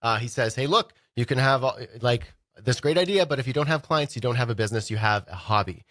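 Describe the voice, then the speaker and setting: A man's voice: mid-range voice, animated, fast, a bit bright, no room sound. A man with a head cold, sounding congested, co-hosting a podcast in a studio and explaining ideas in a playful, casual way. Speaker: podcast co-host. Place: recording studio.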